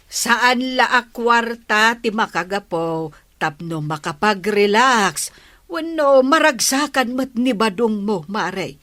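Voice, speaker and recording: A woman's voice: fairly high pitch, lively intonation, slow pace, fairly bright tone. A woman with an Ilocano accent playing a nosy, persuasive village neighbor in a comedy radio drama, coaxing and inquisitive.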